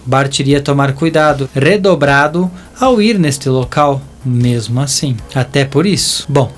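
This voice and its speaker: A man with a Brazilian accent narrating a scripted audio drama as a deliberate storyteller who addresses listeners. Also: mid-range voice, animated. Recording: voice over a music bed.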